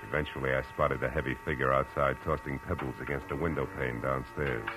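A hard-boiled American private eye with a very deep male voice. He is wry and clipped, talking in the slangy style of 1940s radio drama, with music prominent on an old recording. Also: steady tone, brisk. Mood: moody narrating.

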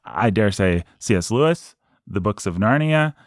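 A man's voice: on the low side, moderate pace, no echo, studio quality. A man hosting a podcast in casual chat, joking around in a playful way. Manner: jokey, playful, animated. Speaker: podcast host.

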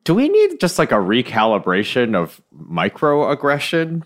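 A man's voice: mid-range voice, wildly swooping pitch, measured pace. A man co-hosting a casual comedy podcast, rambling and questioning himself with many fillers. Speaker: podcast co-host.

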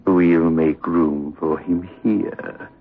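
A sinister horror host gloats with macabre amusement. The voice is very deep and gravelly, drawling very slowly over an old 1960s radio recording. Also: male voice, steady tone, phone-quality sound, faint music behind, heavily acted. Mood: sinister, gloating. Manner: drawn-out, macabre delivery.

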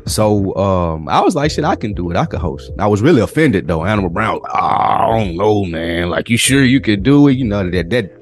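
A man hosting a podcast, telling stories in casual, animated talk full of slang and swearing. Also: fairly low pitch, brisk, few pauses, faint music behind. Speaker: podcast host.

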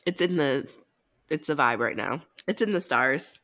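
A woman's voice: low-pitched, animated, unhurried, a bit bright. Female co-host for a casual book podcast. Opinionated, very informal, unafraid to swear. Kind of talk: casual chat about books.

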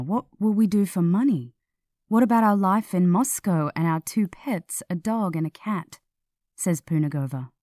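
A woman narrating a scripted news feature in a measured, clearly phrased read-aloud manner. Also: on the low side, warm tone, no room sound, clean studio sound. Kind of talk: scripted narration of a journalistic article.

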